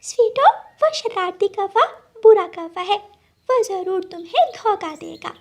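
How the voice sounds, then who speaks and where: A woman's voice: extremely high pitch, lively intonation, slow, exaggerated voice. A woman voicing a loyal, caring little female bird, polite and earnest, in a cartoon. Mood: warning. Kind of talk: cartoon story dialogue.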